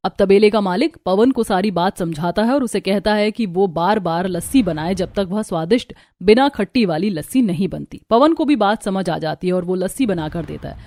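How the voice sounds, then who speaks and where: A woman's voice: mid-range voice, animated, normal speed, few pauses, darkish tone, no room sound. A woman reading a scripted story as its narrator.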